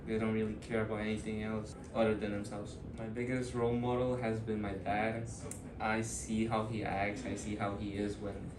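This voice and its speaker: A young man in his early twenties from Ecuador, answering interview questions in a thoughtful, hesitant way with many pauses and fillers. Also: lowish voice, little pitch movement, echoey room, recorded from a distance, background noise. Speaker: student interviewee.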